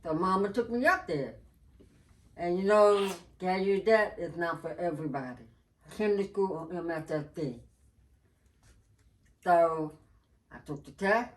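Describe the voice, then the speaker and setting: A woman's voice: fairly low pitch, unhurried, pauses often. A woman telling family stories in a blunt, teasing way during a casual chat. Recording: distant mic with faint noise.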